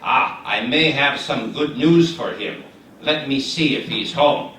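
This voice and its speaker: A man's voice: mid-range voice, a little slow, sharp tone, slight background noise. A man voicing a chatty, ingratiating male know-it-all in a live puppet show, coaxing the audience to join in. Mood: cheerful. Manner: eager, coaxing, leads the audience in call-and-response. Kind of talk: live shadow puppet theatre. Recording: echoey hall, distant mic.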